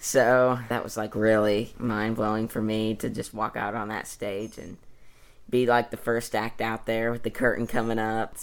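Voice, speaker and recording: Brief: teenage male, fairly flat intonation, modest young musician in casual interview. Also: fairly low pitch, normal speed. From East Tennessee, United States.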